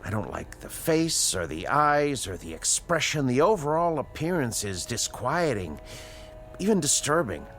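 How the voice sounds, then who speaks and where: Radio drama scientist: educated male, formal and precise, very wide pitch swings, unhurried delivery. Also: sing-song, fairly bright tone, slight background noise. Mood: uneasy, grave. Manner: precise, formal, measured diction with dramatic pauses. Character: educated, uneasy male scientist, inventor of a time machine. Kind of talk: radio drama acting, dialogue and dictated log narration.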